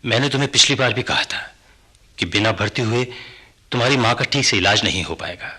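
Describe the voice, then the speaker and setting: A man playing an avuncular older family doctor in a radio drama, familiar and reassuring, with a raspy voice. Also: fairly low pitch, a little fast, pushed and bright. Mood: brisk, concerned. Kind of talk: Hindi radio drama acting.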